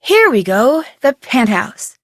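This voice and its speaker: A young American woman playing a curious, chirpy amateur detective in an adventure game, thinking aloud with clear enunciation. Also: fairly high pitch, very animated, slow, no room sound, heavily acted. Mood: musing. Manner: clearly enunciated, inquisitive musing to herself.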